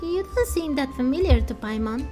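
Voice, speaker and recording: Small childlike game fairy played by a woman: very high voice, excitable, doubting, always questioning. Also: normal speed, faint music behind. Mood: confused. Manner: third-person self-reference, exclaiming, questioning.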